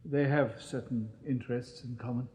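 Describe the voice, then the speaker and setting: An actor playing a pompous, snobbish Victorian police inspector in a radio drama, with an upper-class English accent and formal, condescending delivery. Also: male voice, medium pitch, steady tone, normal speed, darkish tone, natural voice. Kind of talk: 1980s British radio drama acting.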